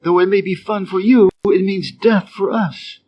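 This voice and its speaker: A man doing a pleading old frog. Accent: American Midwestern. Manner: high, slow, pleading. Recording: dull lo-fi sound with faint noise.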